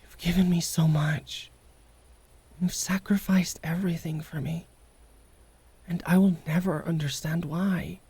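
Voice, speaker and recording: A woman playing a prickly, vulnerable, secretly tender young wolfgirl in an intimate ASMR roleplay, speaking haltingly and often dropping into whispers. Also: on the low side, steady tone, husky, dry recording, full character voice. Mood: vulnerable, grateful. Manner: halting, guarded, softly emotional.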